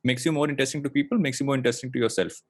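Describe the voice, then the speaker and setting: A man's voice: mid-range voice, very fast, no room sound. A man in his late thirties from India talks as a podcast guest, thinking aloud and circling back with plenty of fillers. His voice sits in an ordinary middle register. The recording is dry and close, with almost no room sound. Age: late 30s. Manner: reflective, rambling with many fillers and restarts. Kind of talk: casual interview chat.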